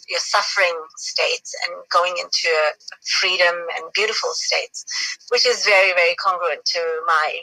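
A woman's voice: lowish voice, sharp tone, no room sound, low-quality recording. A middle-aged woman from South Africa with a mixed South African, Indian and Australian accent, chatting as an interview guest, laughing often and repeating words. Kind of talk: casual interview chat.

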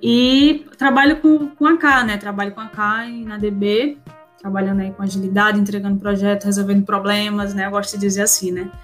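Female interview guest, Northeastern Brazilian accent, informal and slangy, fairly even pitch, light music behind. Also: brisk. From Recife, northeastern Brazil. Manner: informal, slangy, frequent tag questions. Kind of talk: casual chat.

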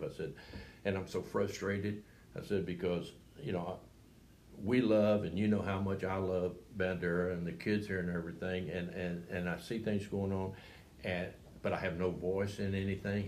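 An older man with a very deep, low voice reminisces as a retired coach, stopping and restarting his sentences as he goes. A faint hum of room noise sits behind him. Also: darkish tone. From Texas. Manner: rambling, halting, with many restarts. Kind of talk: reflective interview talk.

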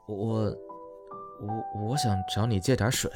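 A man plays a young male entertainer in an audio drama. His voice sits a little low, and he is playful but easily flustered, stumbling and hesitating over his words. Music plays clearly behind him. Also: fairly even intonation, measured pace, natural voice. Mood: awkward, flustered. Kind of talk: Chinese audio drama acting.

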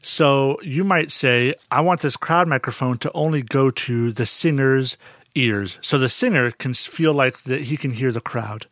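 Dark-voiced man explaining sound mixing. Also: mid-range voice, measured pace, no room sound, studio quality. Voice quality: naturally dark voice. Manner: relaxed, conversational, frequent fillers and restarts. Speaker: audio instructor. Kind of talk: casual explanatory lesson.

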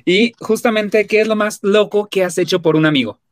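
A man with a Mexican accent conducting a casual interview, working toward his questions in a halting, roundabout way. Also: sits high, dry recording, studio quality. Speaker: magazine interviewer.

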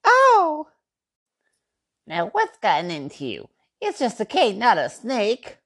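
A woman's voice: a little high, huge pitch swings, slow pace, fairly bright tone, heavily acted. A woman voicing a grumpy, impatient elderly sister who snaps at her siblings and calls them names in an amateur radio drama. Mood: defiant. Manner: snappish, bossy, name-calling. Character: grumpy eighty-something sister, rude and impatient.

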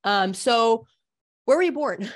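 A woman's voice: fairly high pitch, expressive, slow pace. A woman hosting a podcast, talking in a conversational interview style. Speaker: podcast host.

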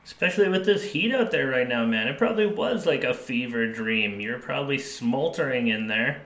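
A man's voice: mid-range voice, expressive, normal speed, a bit bright. A man hosting a podcast in casual, bantering conversation, recorded with a roomy echo. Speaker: podcast host.

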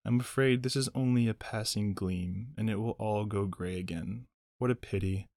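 A man's voice: fairly low pitch, moderate pace, darkish tone, no room sound, close to an everyday voice. A man voicing a curious, eager young male hobbit. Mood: wistful.

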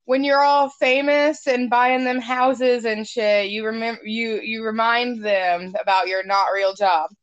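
Female co-host, fairly high voice, casual, salty and joking, muffled lo-fi call. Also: steady tone, a little slow, hardly any pauses, clean tone, no room sound. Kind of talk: casual chat. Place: remote phone call. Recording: dull, lo-fi phone call audio.

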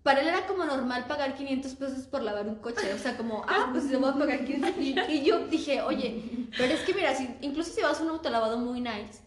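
A woman's voice: sits high, animated, fairly quick, hardly any pauses, reverberant. A Mexican woman with a Mexican accent, telling an anecdote in a giggly, slangy way in a casual chat. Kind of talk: casual conversation, storytelling.

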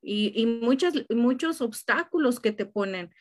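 A woman's voice: fairly high pitch, expressive, measured pace. A woman in a casual conversation, speaking emphatically and restarting her phrases often.

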